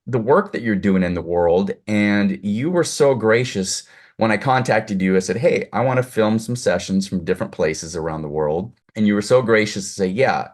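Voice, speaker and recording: A man hosting an interview over a video call, effusive and full of compliments for his guest. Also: on the low side. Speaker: host, producer. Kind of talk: casual interview chat.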